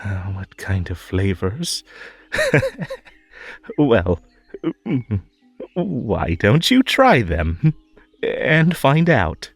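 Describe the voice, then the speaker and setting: A man playing a possessive magical tea-party host in an audio roleplay, over-polite and halting, with a menacing, unhinged edge under the sweetness. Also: fairly low pitch, wildly swooping pitch, husky, no echo, soft background music, full character voice. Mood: coaxing. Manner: halting, drawn-out, over-polite, swinging between coaxing and stern. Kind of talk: scripted roleplay audio drama.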